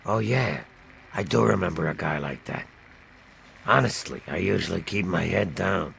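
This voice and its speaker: A man voicing a timid, bitter ghoul bartender in a video game, with a gravelly rasp and a nervous, self-pitying manner. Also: low voice, normal speed, fairly bright tone, slight background noise, heavily acted. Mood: weary, grateful. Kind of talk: video game character dialogue.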